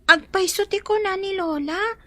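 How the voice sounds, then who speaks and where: A woman's voice: very high-pitched, normal speed, a bit bright, dry recording. A woman playing a hurt yet dutiful young Filipino schoolgirl in a radio drama, plaintive and deferential. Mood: hurt, longing.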